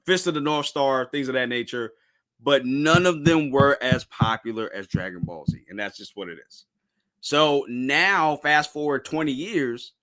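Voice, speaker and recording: A man in his thirties talks loosely about anime as a show host, wandering off and restarting his thoughts. His pitch jumps around a lot and his tone is bright and forward, with a relaxed, chatty way of talking. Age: 30s. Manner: rambling, restarts, says "bro". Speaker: podcast host. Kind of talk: casual anime discussion.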